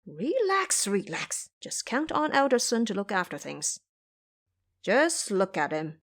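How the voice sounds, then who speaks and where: A woman's voice: mid-range voice, huge pitch swings, a little slow, a bit bright, heavily acted. A woman voicing a brash, cocky male monkey disciple in an audio drama, boastful and carefree.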